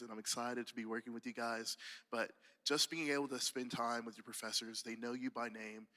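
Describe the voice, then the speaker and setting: A man's voice: medium pitch, bright, forceful tone. A man in his twenties from Tennessee, a student speaking earnestly on a stage panel into a handheld mic. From Tennessee, USA. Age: 20s. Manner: earnest, encouraging. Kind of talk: casual stage talk. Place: large stage hall, indoors. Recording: long hall reverb.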